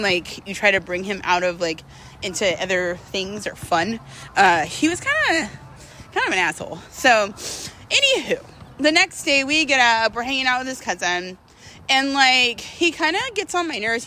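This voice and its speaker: Young female vlogger, higher-pitched and bright, slangy casual storyteller, some street-like noise behind her. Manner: informal and slangy, with frequent hedging asides. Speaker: vlogger telling a personal story.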